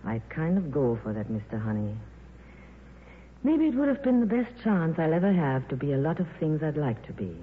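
A worldly female film star, wry and breezy but soft at heart, her intonation rising and falling widely. The 1950s radio drama recording is thin and narrow, with faint noise. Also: deep voice, sing-song, moderate pace. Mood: wistful. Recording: tinny, noisy old radio broadcast.

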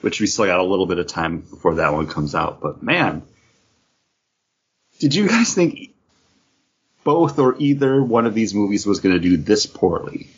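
Guy hosting a podcast, lowish voice that goes up and down a lot, bit rough, muffled audio. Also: very animated, normal speed, long pauses. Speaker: podcast host. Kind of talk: casual panel chat about beer and geek news.

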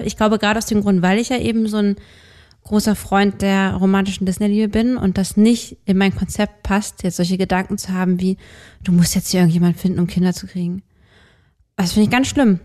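A woman's voice: medium pitch, steady tone, normal speed, darkish tone. Hesitant German woman in her thirties. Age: 30s. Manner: hesitant, many fillers and restarts. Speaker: podcast co-host. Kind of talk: candid personal conversation.

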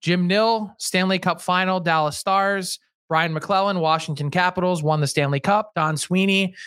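A man co-hosting a casual sports podcast, thinking out loud and weighing his picks. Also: high voice, no echo, clean studio sound. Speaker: podcast co-host.

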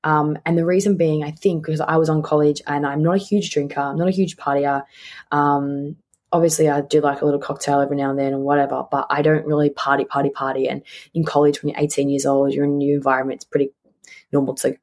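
A woman's voice: sits low, little pitch movement, fast, a little mellow. A woman in her twenties with an Australian accent, chatting casually and candidly as a solo podcast host, often repeating words for emphasis. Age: 20s.

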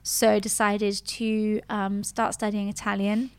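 A woman's voice: medium pitch, monotone, slow, smooth, dry recording. A young woman chatting casually and telling personal stories in a playful, candid way. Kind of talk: casual chat, personal anecdotes.

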